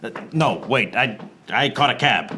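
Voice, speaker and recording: An actor playing a wry, beleaguered private eye, narrating a noir radio play in a deadpan manner. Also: male voice, medium pitch, a little slow, background noise, lightly acted. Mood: wry, exasperated. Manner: deadpan, fourth-wall-breaking.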